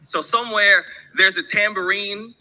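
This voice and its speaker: A man performing spoken word poetry on a dark stage into a stand mic, with a rhythmic, emphatic slam delivery and repeated refrains. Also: high voice, fairly even intonation, normal speed, rough recording. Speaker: slam poet.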